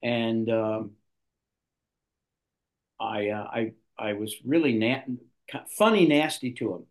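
An older man, a guest actor, telling long stories in an interview with lively restarts. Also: fairly low pitch, slow. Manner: animated storyteller, restarts a lot. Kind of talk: storytelling in an interview.

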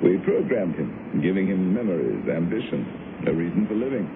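Deep-voiced, assured radio drama scientist. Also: male voice, very animated. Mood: grave, insistent. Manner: measured, explanatory, assured. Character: authoritative male scientist, calmly justifying secret brain experiments. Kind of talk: 1970s radio drama acting. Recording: muffled, noisy 1970s radio broadcast.

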